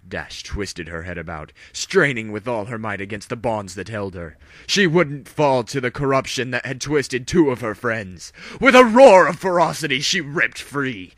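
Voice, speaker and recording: A man with a fairly low voice narrates a tongue-in-cheek dramatic audiobook. He is theatrical and very animated in pitch, and the sound is close and dry. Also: dry recording. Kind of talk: dramatic spoof audiobook reading.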